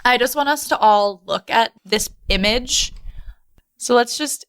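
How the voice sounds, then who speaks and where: A woman's voice: a little high, measured pace, fairly bright tone, clean tone, no room sound, clean studio sound. A woman podcast host in casual discussion, emphatic and enthusiastic as she guides the talk.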